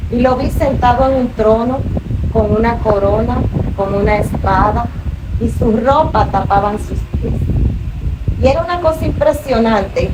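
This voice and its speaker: A middle-aged woman from the Dominican Republic with a Dominican accent, a minister telling her faith testimony in an interview with earnest, rising emotion. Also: fairly high pitch. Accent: Dominican Spanish. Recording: distant mic, noisy room.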